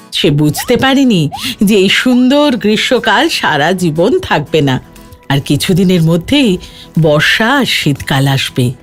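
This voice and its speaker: A woman narrates a children's moral tale in an animated voice that rises and falls freely. Her pitch sits in a middle range, and gentle music runs behind the scripted storytelling.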